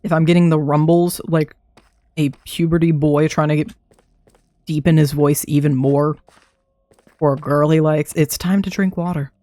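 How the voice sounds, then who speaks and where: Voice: mid-range voice, steady tone, moderate pace, long pauses, dark tone, dry recording. A young adult streamer chatting casually to themself while playing a game, laughing often, throat a little dry. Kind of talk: casual live gameplay commentary.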